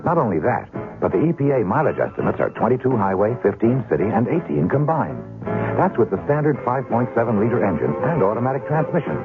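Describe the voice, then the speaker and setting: A man's voice: medium pitch, sing-song, quick pace. A man reading a scripted 1970s radio car advert in an assured, selling way. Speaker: commercial announcer. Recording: muffled old radio, loud music bed.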